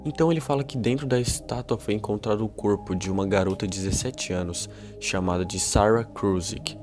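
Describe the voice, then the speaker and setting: Brazilian guy doing informal youtube horror commentary, lowish voice, music clearly playing behind him. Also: fairly quick. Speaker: YouTube narrator.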